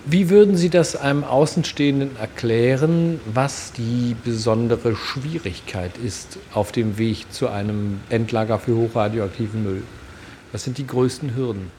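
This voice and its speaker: A man's voice: mid-range voice, unhurried, dark tone, a little hiss or hum. A man working as an interviewer and host, putting questions to guests and presenting narration.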